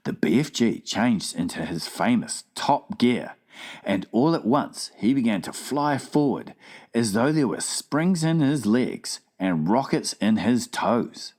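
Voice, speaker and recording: A woman's voice: rumbling low, very animated, takes it slowly, darkish tone. A woman narrating a children's audiobook in a dramatized storytelling style.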